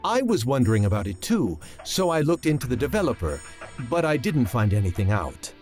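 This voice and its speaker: An actor voicing an excitable, bashful male scientist in an anime game, deferential and fretful, with a flustered, stammering delivery. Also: medium pitch, fairly quick. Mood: explanatory. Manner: stammering, flustered, eagerly explanatory. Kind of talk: English anime game dub acting. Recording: music behind.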